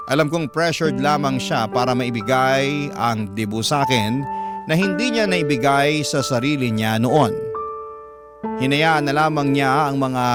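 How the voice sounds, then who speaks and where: A man with a Filipino accent narrating a listener's letter in scripted radio storytelling style. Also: medium pitch. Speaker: radio drama host reading a listener's letter. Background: background music.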